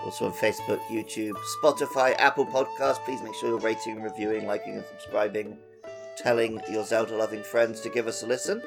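Gravelly-voiced man hosting a podcast. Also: fairly low pitch, flat delivery, brisk, over music, low-quality recording. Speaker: podcast host. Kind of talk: casual podcast chat.

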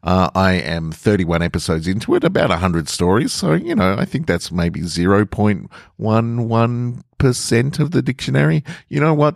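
A man's voice: low-pitched, creaky, no room sound, studio quality. A man hosting a podcast and reading short fiction in an informal, playful manner. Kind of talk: casual intro and narrated short stories.

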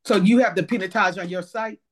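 A woman's voice: mid-range voice, brisk. Co-host lady, playful n teasing, medium voice, asks a lot. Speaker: podcast co-host. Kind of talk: casual podcast chat.

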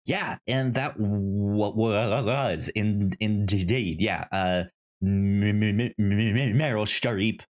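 Slow, halting man with a stutter. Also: fairly low pitch. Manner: halting, repeats syllables and words, stuttering. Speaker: podcast co-host. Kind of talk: casual film discussion.

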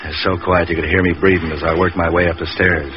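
Man playing a deep, fast-talking hard-boiled detective. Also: level tone, music in the background, natural voice. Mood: wry, weary. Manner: terse, sardonic, hard-boiled. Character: tough, cynical, wisecracking male hired investigator. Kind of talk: 1940s hard-boiled radio acting and narration. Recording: muffled, noisy old radio recording.

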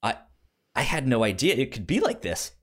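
A man talks casually as a podcast co-host in a mid-pitched voice. His delivery is animated and swings widely in pitch. He often stutters, repeating syllables and words as he makes his case. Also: normal speed. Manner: animated, acts out mock quotes. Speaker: podcast co-host debating films. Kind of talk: casual film debate chat.

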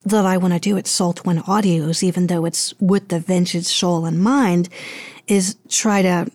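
A woman's voice: on the low side, fairly even intonation, moderate pace, a little mellow, no room sound. A woman speaking casually as an interview guest, rambling a little and often searching for her words. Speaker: interview guest, audio drama producer.

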